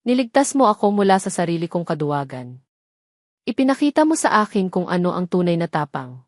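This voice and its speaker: A woman's voice: lowish voice, big pitch swings, a little fast, darkish tone, no echo, clean studio sound. Woman voicing an earnest, remorseful man in love. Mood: pleading, heartfelt. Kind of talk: romantic audio drama.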